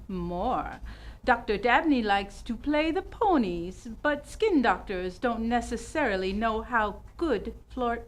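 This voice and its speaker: A woman playing a worldly, gossipy businesswoman in an old-time radio detective play, with a knowing, wisecracking delivery. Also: on the high side. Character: worldly gossipy businesswoman Madame Chang, salon owner.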